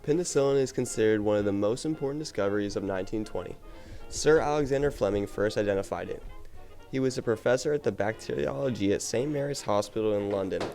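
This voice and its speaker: A man with a fairly low voice reads a scripted report for a radio program styled on the 1920s. Soft music sits faintly behind him. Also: quick pace.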